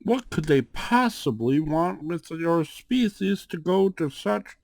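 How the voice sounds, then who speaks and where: A man playing a suave, calm and protective cat-folk gang boss in an ASMR roleplay, speaking in a measured, drawn-out way with questioning tags. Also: on the high side, vocal fry, no echo, exaggerated voice. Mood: pondering, concerned. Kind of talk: ASMR roleplay, acted monologue to one listener.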